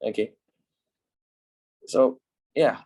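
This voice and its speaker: A man's voice: very slow, darkish tone. A man in his twenties teaching a trading class in a chatty, repetitive way, swearing now and then. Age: 20s. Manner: chatty, repeats himself, swears casually. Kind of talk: casual class lecture.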